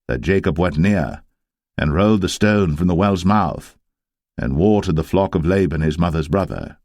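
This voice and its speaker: A male narrator reads scripture in a low voice. His delivery is solemn and measured, like scripted storytelling, and the recording is close and dry. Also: darkish tone, no echo.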